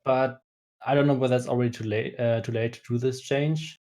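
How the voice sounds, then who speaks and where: A man with a German accent, a native German speaker, explaining his choices in a calm, measured, step-by-step way in casual chat. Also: medium pitch. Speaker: guest player.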